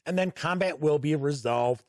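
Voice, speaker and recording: A man hosting an unscripted review walk-through, explaining things in a measured way with deliberate pauses. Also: fairly high pitch, a little mellow, dry recording, studio quality. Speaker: host and reviewer.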